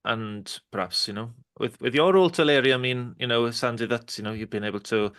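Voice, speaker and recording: A man's voice: mid-range voice, fairly quick, a bit bright, smooth, no echo, studio quality. A man advising businesses in an online panel over a video call. He talks hesitantly, with many ums and restarts. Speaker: Welsh language support officer.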